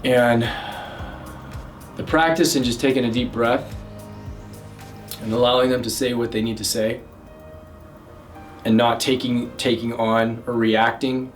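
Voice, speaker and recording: A loud, energetic man coaching on love and communication. His voice sits in an ordinary middle pitch, and he piles up fillers and restarts as he talks. He is heard a little away from the mic, with faint music underneath. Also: measured pace, pauses often, far from the mic. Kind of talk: direct-to-camera advice talk.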